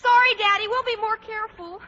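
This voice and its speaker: An actress playing a bubbly, eager teenage high school girl in a 1940s radio comedy, chirpy and earnest. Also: fairly even intonation, brisk, pushed and bright. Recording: dull old radio recording.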